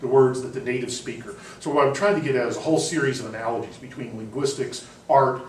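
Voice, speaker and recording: A man's voice: mid-range voice, quick pace. A man holds forth on ideas in front of a seminar class, thinking aloud, with ums and self-corrections. His voice sits in the middle range and carries faint room hiss. He is heard from a distance in a reverberant classroom. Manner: discursive, with frequent ums and restarts. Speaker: university lecturer. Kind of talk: classroom lecture. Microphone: far room recording. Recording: echoey classroom from far off.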